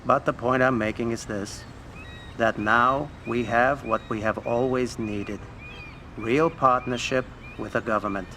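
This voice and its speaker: A shrewd old gangland financier addressing his partners in a game cutscene. The voice is bright and forceful, measured, with faint noise behind it. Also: medium pitch, fairly even intonation. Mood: proud, stately. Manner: measured, speechmaking. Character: Hyman Roth, shrewd elderly male crime boss. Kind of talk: video game cutscene acting.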